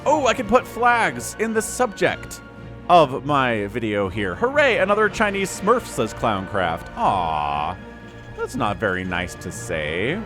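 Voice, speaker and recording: A male game shoutcaster talking through a replay in a loose, conversational way, cracking jokes and humming to himself. His voice swings widely up and down as he reacts. Faint background music plays under him. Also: mid-range voice, slow pace. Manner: animated, chatty, jokey, with laughs and hummed fillers. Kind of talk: casual play-by-play commentary.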